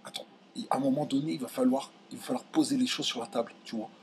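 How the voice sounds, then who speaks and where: A young man from France ranting straight to his viewers, indignant and heated, stumbling over his words and pushing his voice up high when he gets angry. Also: fast, a little hiss or hum. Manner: indignant, voice jumps high when outraged, many restarts. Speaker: video commentator. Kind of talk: heated direct-to-camera rant.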